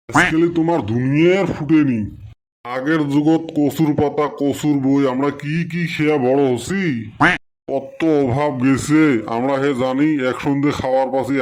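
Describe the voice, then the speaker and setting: A man's voice: mid-range voice, darkish tone, natural voice. A man playing a grumpy, stingy middle-aged village father who scolds and grumbles in a comic cartoon. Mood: grumbling nostalgia.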